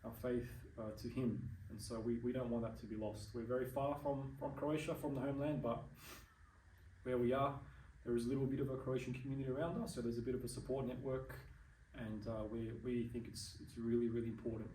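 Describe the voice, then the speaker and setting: A man's voice: medium pitch, steady tone, a little fast, mellow, roomy sound, far from the mic, a little hiss or hum, low-quality recording. A young adult Australian man in an interview, speaking earnestly and measuredly, with frequent ums and restarts. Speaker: interview guest. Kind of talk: casual interview chat.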